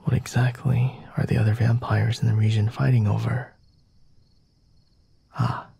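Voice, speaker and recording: A man voicing a flirty, refined vampire master in an ASMR roleplay, speaking in a formal, teasing, deliberate way with a husky, breathy, often whispered delivery. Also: medium pitch, a little mellow, exaggerated voice. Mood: coy, guarded. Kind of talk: ASMR roleplay, addressing the listener.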